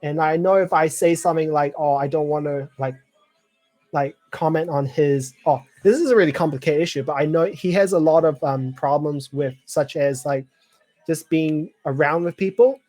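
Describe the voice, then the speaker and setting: A man in his twenties chatting casually on a live stream, rambling with many fillers and clearing his throat now and then. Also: a little high, moderate pace, darkish tone, soft background music. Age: 20s. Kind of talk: casual live chat reading viewer comments.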